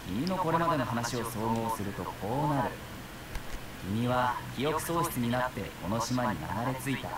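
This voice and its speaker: A man's voice: mid-range voice, expressive, fast talker, far from the mic, a loud crowd, lightly acted, rough recording. An actor playing a dutiful young male Japanese police officer in a visual novel, exasperated but patiently questioning.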